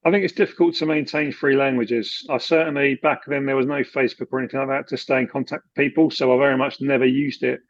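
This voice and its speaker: A man from the UK chatting in an interview, relaxed, with many fillers and restarts. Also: medium pitch, quick pace, hardly any pauses, no room sound, muffled recording. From United Kingdom. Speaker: guest, expat finance expert. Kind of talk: casual interview conversation.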